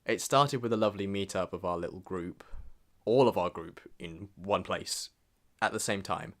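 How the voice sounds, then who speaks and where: A man's voice: low voice, moderate pace, fairly bright tone. A young adult man reading a scripted, personal look back as a voice-over. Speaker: video narrator. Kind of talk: scripted retrospective voice-over narration. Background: music under the voice.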